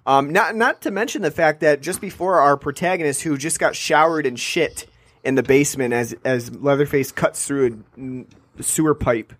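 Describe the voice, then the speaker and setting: A man talking on a podcast in a slightly high voice, with a rambling, sarcastic delivery full of fillers. His voice is close and dry, with no room sound behind it. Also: moderate pace, fairly bright tone, no echo. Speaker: podcast co-host. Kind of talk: casual film review chat.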